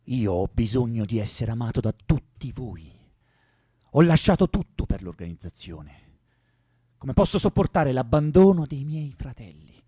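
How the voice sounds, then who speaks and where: Passionate young idealist rebel in radio drama; impulsive, earnest, muted tone, very animated intonation. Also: male voice, mid-range voice, measured pace, slightly rough, no room sound, studio quality. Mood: fervent, pleading. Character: idealistic, passionate young male revolutionary who loves life. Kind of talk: theatrical radio drama acting.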